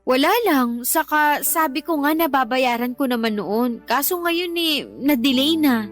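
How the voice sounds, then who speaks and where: A woman's voice: sits high, unhurried. An actress playing a defensive, easily irritated live-in girlfriend in a Tagalog radio drama, whining and argumentative. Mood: uneasy confessing. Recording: dramatic music bed behind the voice.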